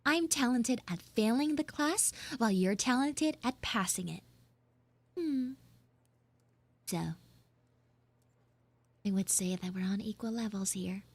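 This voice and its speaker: Woman playing a slow, teasing tsundere schoolgirl, high-voiced. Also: no room sound. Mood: coy, defensive. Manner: coy teasing, drawn-out pauses, occasional whispered asides. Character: teasing tsundere frog schoolgirl, smug and playful. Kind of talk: live audio roleplay.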